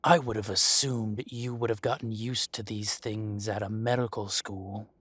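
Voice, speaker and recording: A man acting as a possessive dragon shifter mafia boss in a roleplay audio drama, speaking with mock politeness and deliberate, taunting menace. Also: on the low side, steady tone, a bit bright, slightly rough, no echo. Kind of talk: scripted roleplay audio drama, addressing the listener.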